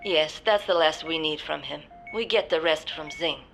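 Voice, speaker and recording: A detached female virologist in a video game, speaking in precise, clinical terms. Her voice sits a little low, and music runs clearly under the scene. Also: moderate pace, a bit bright. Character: cold female virus scientist, clinical and detached. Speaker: scientist doctor.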